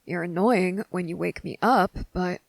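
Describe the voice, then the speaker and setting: A woman playing a wary, blunt but softening young boy in an ASMR roleplay, speaking deliberately with hesitant pauses. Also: lowish voice, gravelly, no room sound, poor audio. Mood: softening, gentle. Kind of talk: ASMR roleplay audio drama.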